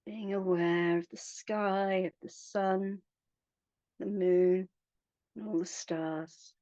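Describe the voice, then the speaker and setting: Woman, meditation guide, very unhurried, soft dark tone, hushed and soothing, long pauses, dry slightly muffled recording. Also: mid-range voice, fairly even intonation, no echo.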